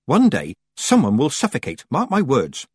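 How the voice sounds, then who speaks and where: A man's voice: medium pitch, wildly swooping pitch, a little fast, husky, dry recording, clean studio sound, voice pushed up, exaggerated voice. A man voicing a fussy, skinny village woman who scolds and sniffs with disapproval. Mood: disapproving.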